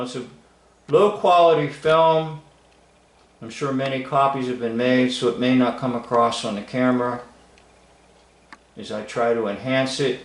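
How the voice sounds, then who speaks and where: A man gives casual, musing commentary without a script. He speaks slowly and haltingly, at a middling pitch, in an echoey room. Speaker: video commentator.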